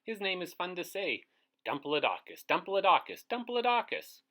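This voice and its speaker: Male storybook host for young children, lively and varied delivery, bright tone, engages listeners with questions. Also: high voice, moderate pace. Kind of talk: animated children's storybook read-aloud.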